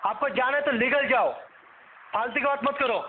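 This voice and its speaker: A man's voice: extremely high pitch, moderate pace. A man with an Indian accent arguing defiantly on a phone call as a customer, often repeating himself. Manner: defiant, argumentative, repetitive. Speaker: bank customer who owes money. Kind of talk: heated phone argument. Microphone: phone line. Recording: noisy, tinny phone call recording.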